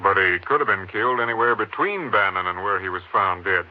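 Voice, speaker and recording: An actor playing a gruff Texas Ranger captain who questions skeptically, in 1950s radio drama style. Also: male voice, low voice, brisk, close to an everyday voice. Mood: skeptical, curious. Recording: muffled old 1950s radio recording.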